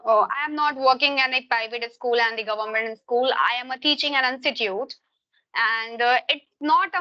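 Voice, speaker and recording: A woman's voice: fairly high pitch, bright, forceful tone, dull audio. A woman from Uttar Pradesh with an Indian accent, a Hindi speaker, teaching English and chatting at length on a call with frequent grammar slips. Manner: long searching answers with frequent grammar slips. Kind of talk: casual video-call conversation.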